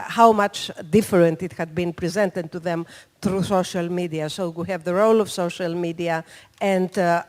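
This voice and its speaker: A panelist speaking formally and haltingly, with many fillers, in a conference hall. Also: mid-range voice, a little mellow. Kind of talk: unscripted panel remarks. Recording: long hall reverb.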